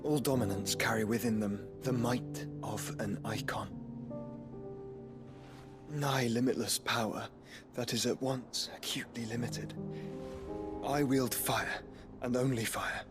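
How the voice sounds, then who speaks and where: A man's voice: mid-range voice, fairly even intonation, unhurried, long pauses, lightly acted. Man playing a solemn young nobleman over music. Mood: solemn, earnest. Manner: solemn, formal, archaic phrasing. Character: earnest, learned young nobleman who wields fire. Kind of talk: dramatic fantasy game cutscene acting. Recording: music behind the voice.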